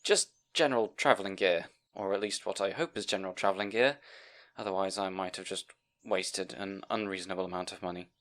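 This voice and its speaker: A man's voice: deep voice, fairly even intonation, brisk, a bit bright. A man playing a timid, bookish young scribe in a fantasy audio roleplay, hesitant and apologetic, rambling and stammering. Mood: anxious, rambling. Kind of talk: audio roleplay acting addressed to the listener.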